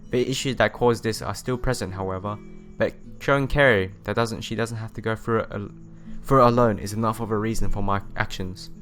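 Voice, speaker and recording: A man's voice: fairly low pitch, a bit bright. A man reading visual novel narration aloud as a let's player, telling it expressively and sometimes stumbling and rereading phrases. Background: music.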